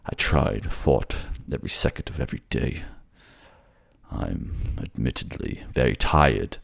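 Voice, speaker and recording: A very deep, gravelly male voice , put on by a male voice actor, for a weary man under a curse in a fantasy drama. He is tender and protective, heavy with guilt, and speaks in soft, halting pleas. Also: full character voice. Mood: weary, apologetic. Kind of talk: fantasy audio drama roleplay.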